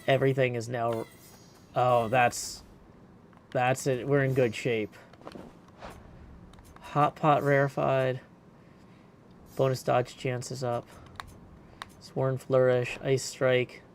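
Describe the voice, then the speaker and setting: A man's voice: medium pitch, flat delivery, takes it slowly, long pauses. A man giving casual live gameplay commentary as a streamer, thinking aloud as he makes his decisions. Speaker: game streamer. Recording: clear background game noise.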